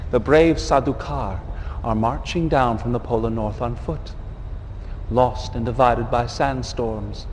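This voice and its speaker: An actor playing a smug, scheming military adviser of a villainous house in a game cutscene, sardonic and deliberate, savoring his pauses. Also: medium pitch, in a large hall, a little hiss or hum, close to an everyday voice. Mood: gloating. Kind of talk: dramatic game cutscene briefing.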